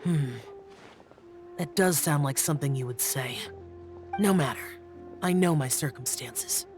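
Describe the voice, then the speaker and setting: Male actor as reserved young immortal warrior for a game; brooding, formal, halting, faint music behind. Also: fairly high pitch, natural voice. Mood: pensive, reserved. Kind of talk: video game voice acting.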